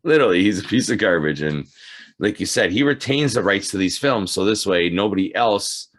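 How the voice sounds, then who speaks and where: A man with a slightly low voice hosts a relaxed, informal chat. His delivery is conversational and agreeable. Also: a little fast. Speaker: host. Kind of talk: casual chat.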